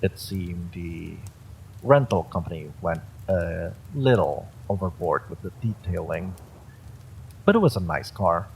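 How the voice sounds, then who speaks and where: A man's voice: low voice, animated, measured pace, gravelly, a little hiss or hum, rough recording. A man reading a scripted first-person horror story as its narrator.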